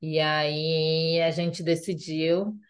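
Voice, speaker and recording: A woman's voice: low voice, flat delivery, very slow. A woman from Brazil with a Brazilian Portuguese accent, chatting casually as an interview guest, full of fillers and repeated phrases.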